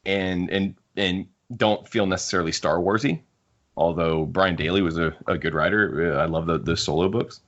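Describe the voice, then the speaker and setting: A man's voice: sits low, moderate pace, fairly bright tone. A man hosting a casual panel discussion about books, talking in an emphatic, opinionated way. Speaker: podcast host. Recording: muffled lo-fi audio.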